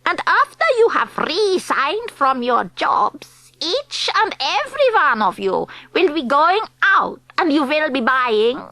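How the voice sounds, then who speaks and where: Villainous witch leader, put-on foreign accent, bright piercing tone, very dry close sound, theatrical, commanding, audiobook. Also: high-pitched, big pitch swings, no echo, exaggerated voice, rough recording. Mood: bossy, commanding. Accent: put-on foreign, mangled English. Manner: commanding, theatrical, drawn-out words. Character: Grand High Witch, imperious female villain loathing children. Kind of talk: audiobook character performance.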